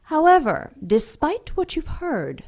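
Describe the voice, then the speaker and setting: A woman playing an imperious, sardonic ancient dark angel in an audio drama, taunting and theatrical in a deliberate way. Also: sits high, huge pitch swings, full character voice. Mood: haughty lecturing.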